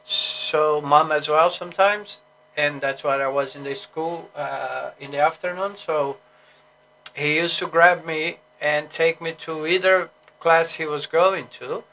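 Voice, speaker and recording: A man's voice: fairly high pitch, steady tone, measured pace, bright, forceful tone, poor audio. A man from Brazil with a Brazilian Portuguese accent, an English teacher rambling casually on a solo stream with many fillers and restarts.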